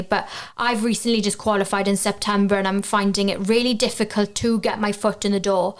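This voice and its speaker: A young Welsh woman, Welsh her first language, answers interview questions at a middle pitch. She talks in an earnest, steady way and often closes a point with a quick "so yeah". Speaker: journalism graduate, guest.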